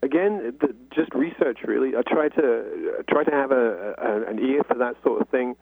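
A man's voice: mid-range voice, moderate pace, creaky, husky, dry recording. A man from North London with a London accent, answering radio interview questions as a guest novelist in a reflective, explanatory way over a phone-like line. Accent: London English. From North London, England. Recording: phone-like, muffled narrow-band line.

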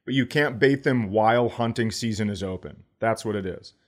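Lowish voiced guy co-hosting a comedy podcast, wry teasing banter, swears a bit. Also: moderate pace. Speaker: podcast co-host.

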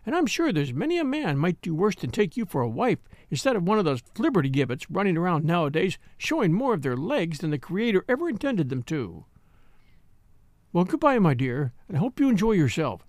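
A man's voice: high voice, sing-song, fairly quick, no room sound, studio quality. A man reading aloud and voicing a blunt, triumphant elderly spinster in a gossipy, quavering manner. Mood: triumphant gossip.

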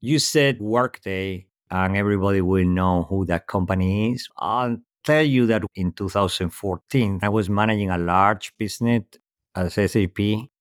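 Spanish-accented man, low voice. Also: normal speed, no echo, studio quality. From Spain. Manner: thoughtful, structured answers with frequent "right?". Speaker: guest executive. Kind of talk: podcast interview.

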